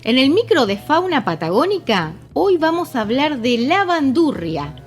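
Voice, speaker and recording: A woman's voice: high-pitched, sing-song, moderate pace, soft background music. An Argentine woman co-hosting a radio magazine, introducing segments with friendly banter. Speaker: radio co-host.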